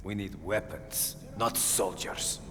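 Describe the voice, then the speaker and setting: An actor playing a proud, stern rebel commander, terse and deliberate. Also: male voice, low voice. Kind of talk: cinematic military game acting. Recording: reverberant, clear ambient noise.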